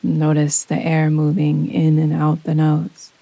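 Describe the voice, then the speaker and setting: A woman gently guiding a yoga nidra relaxation meditation in a soothing, unhurried manner with long pauses. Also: deep voice, monotone, vocal fry, no room sound, lo-fi sound. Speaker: meditation guide. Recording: close dry voice over rain sounds. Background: soft rain sounds.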